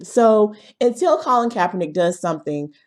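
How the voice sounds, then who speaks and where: A woman giving casual, opinionated commentary in a blunt, emphatic manner, slightly hoarse from a cold. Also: medium pitch, lively intonation, moderate pace, darkish tone. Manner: blunt, emphatic, punctuating points with tag questions. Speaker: video commentator.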